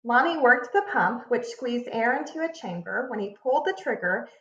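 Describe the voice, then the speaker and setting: A woman reading a children's picture book aloud like a teacher, expressive and deliberate, leaning on key words. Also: on the high side. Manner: expressive, deliberate storytelling with emphasis. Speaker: read-aloud narrator, teacher. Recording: echoey room, slightly muffled sound.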